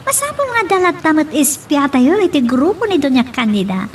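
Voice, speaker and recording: A woman's voice: high voice, sing-song, moderate pace, slight background noise. A woman playing a friendly, inquisitive young woman in an Ilocano drama. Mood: eager. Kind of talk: Ilocano radio-style drama acting.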